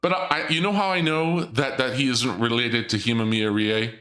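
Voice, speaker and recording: Joking, rambling man with a bright voice. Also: mid-range voice, fairly even intonation, normal speed. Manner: rambling, joking, theatrical dark-lord persona. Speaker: streamer. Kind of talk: casual stream chat.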